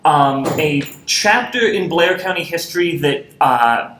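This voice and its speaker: A man's voice: a little high, lively intonation, unhurried, heavy background noise. A man who works as a university archivist giving a public lecture in an upbeat, teacherly way with frequent ums. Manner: upbeat, teacherly, many fillers. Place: lecture hall. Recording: echoey hall with faint clicks.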